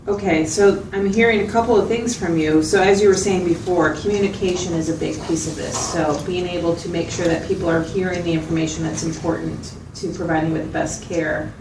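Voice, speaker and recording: Woman acting as a patient clinician lady in a training drama, measured explainer, echoey room w/ background noise. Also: fairly low pitch, steady tone, lightly acted. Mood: attentive, checking. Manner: measured, explanatory, checks for agreement. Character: patient, attentive female mental health clinician. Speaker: mental health clinician facilitator. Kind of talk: acted clinical interview, explanatory. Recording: roomy, distant mic, noticeable background noise.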